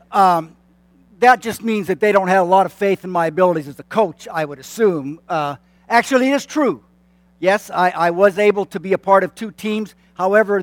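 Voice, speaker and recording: A man in his late fifties speaks to a church congregation with a friendly, self-deprecating manner, pausing on plenty of fillers and restarting his sentences. His voice sits high for a man, and the sound is dry and close, with little room echo. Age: 50s. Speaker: church consultant. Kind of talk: announcements to a congregation. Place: church sanctuary.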